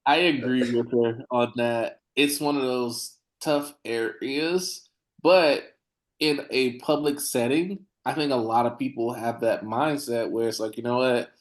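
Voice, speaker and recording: Measured, thoughtful male guest. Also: medium pitch, steady tone. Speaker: panel guest, dentist. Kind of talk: casual panel chat.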